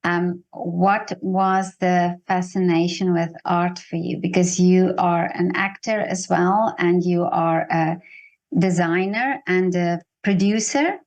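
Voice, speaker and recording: A woman's voice: fairly low pitch, fairly even intonation, slow pace, a little mellow, muffled recording. A woman hosting a conversational interview over a video call, putting questions to her guest. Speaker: interviewer, host.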